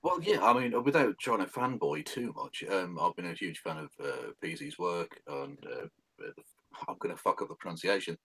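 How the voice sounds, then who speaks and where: A man's voice: low voice, expressive, moderate pace, a bit bright, husky. A man with an English accent co-hosting a casual, joking online chat, often interrupting himself. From England. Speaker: co-host.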